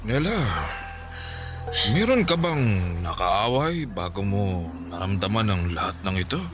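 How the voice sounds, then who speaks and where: A man playing a grave, calm older Filipino folk healer in a radio drama, speaking deliberately with thoughtful hums. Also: on the low side, music in the background. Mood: probing, concerned. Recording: clearly noisy background ambience.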